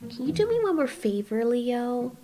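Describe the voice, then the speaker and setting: A woman's voice: on the high side, sing-song, normal speed, dark tone, pitched above the performer's own voice. A woman voicing an impatient female giant moth monster who speaks politely but curtly in an audio drama. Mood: uneasy. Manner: hesitant politeness turning curt.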